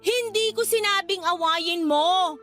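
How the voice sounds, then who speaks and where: A very high, bright voice playing an indignant young girlfriend in a radio drama. She is hurt and sarcastic, standing her ground, with music behind her. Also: a little slow. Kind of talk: Filipino radio drama acting.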